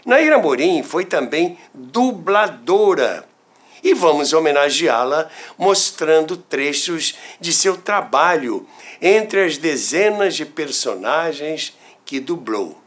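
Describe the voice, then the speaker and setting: A man with a Brazilian Portuguese accent narrating scripted stories in a theatrical, deliberate way as a show host. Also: on the high side, very animated, fairly bright tone. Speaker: host and storyteller of a radio history show.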